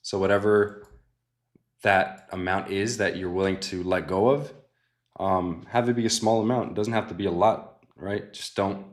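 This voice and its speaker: A young man hosting a live trading stream, chatting casually with viewers and thinking out loud, often dropping to a quiet mutter. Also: low-pitched, fairly even intonation, moderate pace. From Mexican family. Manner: laid-back, thinks aloud, often mutters quietly to himself. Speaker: livestream host trading futures.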